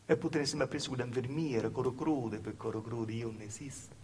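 A man's voice: medium pitch, fast talker, a little mellow, slight background noise, lightly acted. An actor playing a humble, wronged southern Italian village woman in a plaintive theatrical monologue, with a Calabrian accent and repetitive storytelling. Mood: weary, plaintive. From southern Italy, Calabria. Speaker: stage actor performing a monologue. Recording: live stage performance with music. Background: live music.

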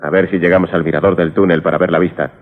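A man's voice: low voice, fairly even intonation, racing. An actor playing an enthusiastic, jovial family father with a Castilian accent in a Spanish radio drama. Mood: easygoing. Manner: exuberant, affectionate teasing. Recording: phone-like, faintly noisy 1980s radio recording.

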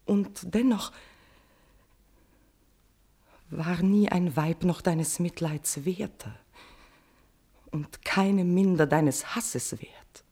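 An actress playing a tormented, lovesick queen in a classical verse radio tragedy, declaiming with passion and slipping between breathy whispers and swelling outbursts. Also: fairly low pitch, takes it slowly, dark tone, exaggerated voice. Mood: pleading, bitter.

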